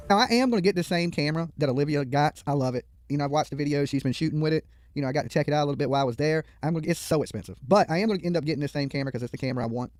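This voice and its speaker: Man, casual livestream host, rapid talker, opinionated, colloquial, swears freely, dry sound. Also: on the high side, darkish tone, dry recording. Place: studio.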